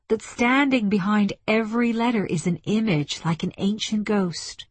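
Woman acting an intense, impassioned woman, husky. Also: mid-range voice, no echo, close to an everyday voice. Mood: sad, reflective. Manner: earnest, emphatic, declaiming. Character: intense, impassioned woman delegate awakening to alien insight.

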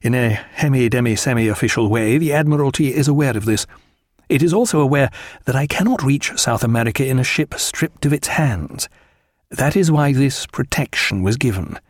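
A man's voice: medium pitch, expressive, moderate pace, no echo, studio quality, natural voice. A man voicing a thoughtful, discreet ship's doctor in an audiobook, speaking with careful precision. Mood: confiding.

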